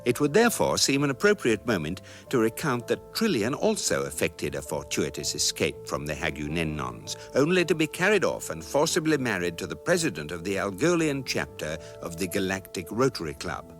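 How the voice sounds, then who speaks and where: A man playing a dry guidebook narrator with a British accent, deadpan and articulate, in scripted comic radio narration. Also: sits low, a little fast, few pauses, faint music behind. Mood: wry, measured.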